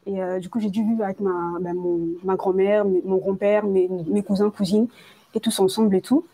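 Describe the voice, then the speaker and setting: A woman's voice: medium pitch, little pitch movement, moderate pace, gravelly, dark tone. A nineteen-year-old woman from Congo who grew up partly in France, giving a personal testimony in a hesitant, colloquial way with repeated words. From Congo, moved to France at age nine. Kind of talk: personal testimony, informal spoken storytelling.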